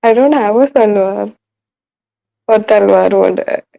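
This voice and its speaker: Deadpan teen girl, deep gravelly voice, sore throat, muffled lo-fi sound. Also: slow pace. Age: late teens. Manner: sarcastic, deadpan, swears. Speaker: video chat stranger. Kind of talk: casual chat. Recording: lo-fi video chat audio.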